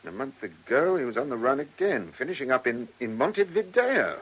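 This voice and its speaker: Radio play: brusque detective chief, husky, animated delivery, dry and sardonic. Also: male voice, medium pitch, brisk, rough recording. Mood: measured, reporting. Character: sardonic, brusque male police chief inspector on a murder case.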